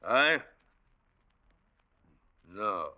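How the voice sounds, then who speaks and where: A man's voice: fairly low pitch, very animated, drawn-out words, sharp tone, full character voice. An actor playing a blunt, defiant village woodsman with an intense manner in an old-time radio drama. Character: defiant, brooding village woodsman, the warden's son. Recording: dull old radio recording.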